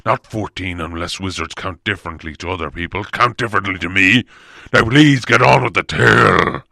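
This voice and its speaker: A huge storybook bear-man, low and gravelly. He speaks in slow, heavy, blunt commands with a wry, suspicious growl. The sound is dry and close. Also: bright, forceful tone, no room sound, exaggerated voice. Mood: impatient, bossy.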